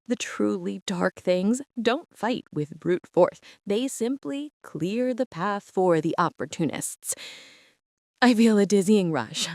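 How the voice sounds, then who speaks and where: A woman playing a traumatized, meticulous archivist, narrating a psychological horror drama in a brooding, deliberate way. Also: mid-range voice, a little mellow, no echo, clean studio sound. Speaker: narrator and lead character of an audio drama.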